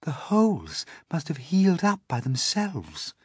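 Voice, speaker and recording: A man voicing a gentle female ladybird with a husky voice. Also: on the high side, huge pitch swings, a little slow, no echo, clean studio sound, pitched above the performer's own voice, heavily acted. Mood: hopeful. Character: hopeful female ladybird creature.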